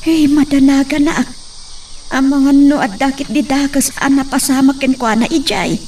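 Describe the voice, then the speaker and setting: A woman's voice: high-pitched, fairly even intonation, moderate pace, darkish tone. A woman playing a worried mother asking after her son, in an amateur drama. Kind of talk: amateur audio drama acting. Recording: distant mic, faint noise.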